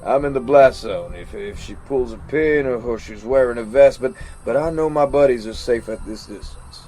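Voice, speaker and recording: Haunted American soldier, played by a male actor for an audio drama monologue: mid-pitched, slow, stammering, faint music behind. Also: a little mellow, close to an everyday voice. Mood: agitated, emphatic. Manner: halting, stammering, repeats words. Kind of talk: dramatic first-person monologue.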